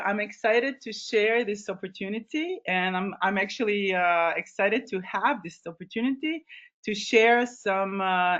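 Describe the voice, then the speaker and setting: Woman, 30s, from Croatia, expedition leader; halting, filler-heavy webinar delivery, lo-fi call sound. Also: medium pitch, a bit bright. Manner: hesitant, many ums and restarts. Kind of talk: informal presentation. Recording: slightly dull, lo-fi webinar audio.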